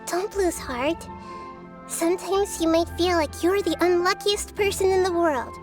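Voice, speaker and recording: Game casting: a tiny wise girl spirit, very high childlike voice, gentle, curious and measured, over background music. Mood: teasing, reassuring. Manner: soft-spoken, measured, whimsical, gently teasing. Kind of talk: anime video game character voice lines.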